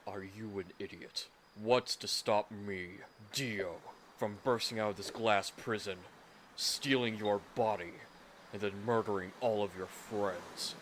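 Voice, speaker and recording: Man playing a pompous, menacing inhuman male villain, low-voiced. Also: fairly even intonation, measured pace, a bit bright, a little hiss or hum. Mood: threatening. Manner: pompous, contemptuous, formal. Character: haughty, menacing inhuman male villain, disembodied head in a jar.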